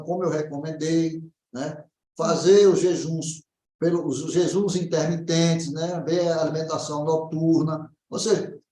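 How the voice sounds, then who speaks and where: Echoey, higher-voiced Brazilian man explaining. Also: a little mellow. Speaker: naturopath answering questions. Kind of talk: informal health consultation. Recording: roomy echo.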